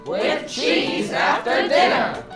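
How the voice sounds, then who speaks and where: Ad spot: woman as bright-spirited radio pitchwoman, slow swooping delivery, roomy echo, crowd noise. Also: very animated, heavily acted. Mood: cheerful, selling. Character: cheerful vintage radio wine jingle pitchwoman. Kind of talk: old-time radio advertisement. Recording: roomy, loud music and crowd sound.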